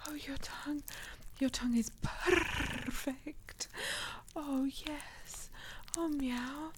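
A woman voicing a playful young catgirl who teases in breathy whispers, meows and purring growls. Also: very high voice, lively intonation, extremely slow, slight background noise, exaggerated voice. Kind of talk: solo audio roleplay.

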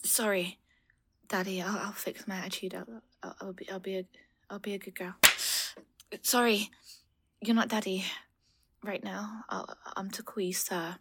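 A young woman playing a playful, bratty girlfriend in an intimate roleplay audio, expressive and halting, with a breathy voice that often drops to a whisper. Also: medium pitch, heavy background noise, lightly acted. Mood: meek, apologetic. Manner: expressive, halting, swinging from exclamations to murmurs. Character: playful bratty adult girlfriend, affectionate and easily flustered. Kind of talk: intimate roleplay audio acting, addressed to an unseen partner.

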